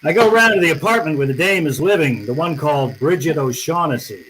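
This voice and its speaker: A man's voice: fairly high pitch, expressive, a little fast, a bit bright, slight background noise, poor audio. An American man playing a tough, wry private detective in old-time radio noir style, with slangy, world-weary delivery. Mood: wry, matter-of-fact. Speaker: actor narrating and playing the lead.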